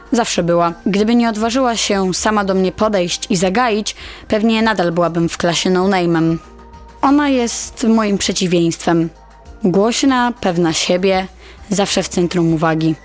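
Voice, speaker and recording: A young woman playing a shy, insecure high-school girl who tells her own story in an audio drama, quiet and confiding. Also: a little slow, lightly acted. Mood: reflective. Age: teenager. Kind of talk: audio drama first-person narration. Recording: music bed under the voice.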